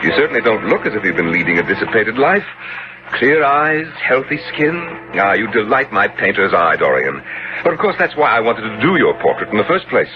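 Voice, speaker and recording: An actor playing a hearty, admiring gentleman painter in a 1970s radio drama, with an effusive, exclamatory delivery. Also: on the low side, sing-song, brisk, music in the background. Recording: muffled, noisy old radio broadcast.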